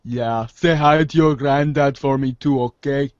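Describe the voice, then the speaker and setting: A man's voice: mid-range voice, fairly even intonation, a little slow, no echo, natural voice. Man as a laid-back teen boy, dull dry recording. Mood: casual, hesitant. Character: laid-back, too-cool teenage boy. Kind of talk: fan audio drama of a webcomic. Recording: close, dull lo-fi sound.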